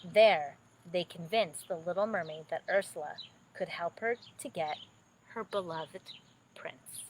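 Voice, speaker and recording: A woman narrating a children's bedtime story expressively, with dramatic, drawn-out emphasis. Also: fairly low pitch, slight background noise.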